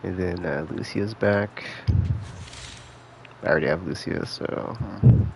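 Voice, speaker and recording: A man chatting casually on a live game stream in a laid-back, slangy, rambling way, thinking out loud, with a gravelly voice. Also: low voice, measured pace, slight background noise. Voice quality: gravelly, creaky.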